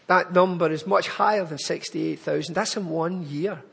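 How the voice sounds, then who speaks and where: A man's voice: high voice, normal speed, creaky, a bit bright, husky, long reverb tail. A man with a Glaswegian accent giving an earnest, pleading charity appeal in church. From Scotland. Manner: earnest, pleading, building to emphatic lists.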